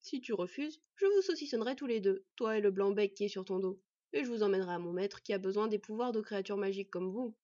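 A woman's voice: medium pitch, animated, fast, mellow, no room sound, lightly acted. A woman voicing a brutish male bandit chief in a storybook, mocking and threatening. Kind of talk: storybook villain voice. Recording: dull, slightly distant microphone.

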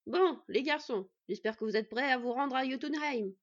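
A woman's voice: high-pitched, normal speed, dull audio. A woman voicing a jolly, boisterous male smith who talks in a hearty, teasing way.

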